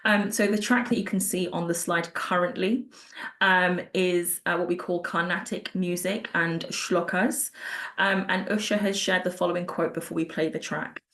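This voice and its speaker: Lower-pitched woman hosting an online panel. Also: steady tone, normal speed, a bit bright. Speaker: panel host. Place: online video call.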